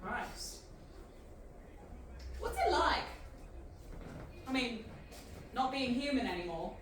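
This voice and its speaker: A very echoey, noisy recording carries an actress as an irreverent young woman in a sci-fi audio drama. Her voice sits a little high, and she speaks frankly, with casual, sardonic confidence. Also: very animated, slow pace. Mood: wry, curious. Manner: casual, wry, confessional. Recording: very echoey, distant, clearly noisy.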